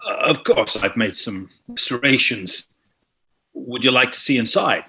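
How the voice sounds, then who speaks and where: A man acting out a mysterious scholarly historian in a script reading. His voice is husky and mid-pitched. He speaks formally and with measured courtesy, carrying an air of superiority. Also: bright, forceful tone, heavily acted, poor audio. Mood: calm, inviting. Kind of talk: screenplay table read.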